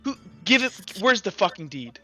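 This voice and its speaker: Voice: medium pitch, very animated, moderate pace, sharp tone, no echo, faint music behind. A game master voicing a stern woman trader in a tabletop game, curt, cold and indignant. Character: stern, scornful woman trader selling blades.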